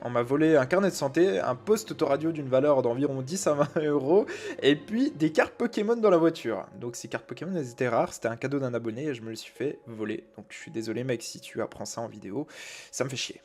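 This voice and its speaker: A man's voice: mid-range voice, animated, quick pace, darkish tone. A man in his twenties from France, telling his own story in a casual vlog, full of "euh" and "bah" fillers. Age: 20s. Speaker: YouTuber.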